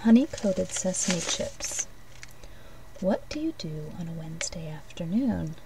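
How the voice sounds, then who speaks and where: Soft-spoken woman with a low voice, ASMR. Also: lively intonation, unhurried, distant mic, background noise. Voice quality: soft, breathy, often dropping to a whisper. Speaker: ASMR host playing a shopkeeper giving card readings. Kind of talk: ASMR role play, softly spoken.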